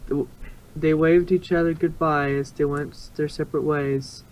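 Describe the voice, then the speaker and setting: A man's voice: a little high, fairly even intonation, unhurried, a little mellow, slight background noise, low-quality recording. A man narrating a story in an amateur reading, stumbling now and then and rereading phrases.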